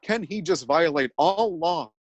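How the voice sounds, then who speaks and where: A man narrates an absurdist tale with deadpan, mock-dramatic gravity. His voice sits a little high and the recording is dry and close. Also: a little slow, dry recording, lo-fi sound.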